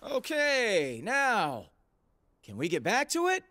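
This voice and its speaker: An actor playing a cocky, earnest young space-hero leader in a video game, with emphatic delivery. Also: male voice, very high-pitched, wildly swooping pitch, slow pace, sharp tone, heavily acted. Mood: exasperated. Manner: emphatic, speechifying. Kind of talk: video game cutscene acting.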